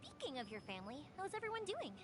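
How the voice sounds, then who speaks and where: A woman's voice: extremely high pitch, huge pitch swings, fast, full character voice. An actress playing a cheerful, helpful young female fireworks maker in a video game. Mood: thoughtful. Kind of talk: scripted video game acting. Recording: music behind the voice.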